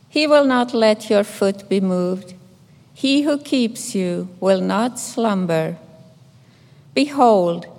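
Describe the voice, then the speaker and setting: An older woman from Finland with a Finnish accent, a nun reading scripture and giving a gentle, measured memorial tribute. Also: mid-range voice, vocal fry. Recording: echoey hall reverb.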